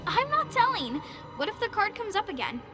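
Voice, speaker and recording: A woman's voice: very high voice, big pitch swings, normal speed, in a large hall, music in the background. A woman voicing an earnest, insistent, bossy little girl in a video game. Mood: playful, bossy. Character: intense, bossy, bug-loving little girl.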